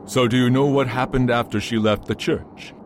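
A man playing a kindly, teasing friend in a radio play, with a measured, gently chiding manner. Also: mid-range voice, fairly even intonation, close to an everyday voice. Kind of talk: amateur radio play acting. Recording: faint background noise.

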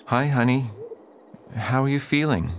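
An actor playing an upright, earnest preacher who pleads and reasons with others in a radio drama. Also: male voice, mid-range voice, a little slow, noisy background, natural voice. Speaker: reverend at a mission.

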